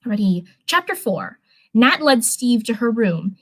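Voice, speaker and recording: A woman's voice: on the high side, very animated, measured pace, a bit bright, husky, no echo. A woman reading fan fiction aloud in a casual livestream style, with chatty, rambling asides. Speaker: live streamer reading fanfiction.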